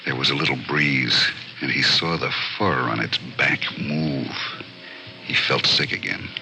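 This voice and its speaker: A man narrating an old radio thriller in a grim, suspenseful, deliberate manner. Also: rumbling low, vocal fry, background noise. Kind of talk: dramatic scripted narration, 1940s-50s radio thriller. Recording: old radio recording, loud music behind.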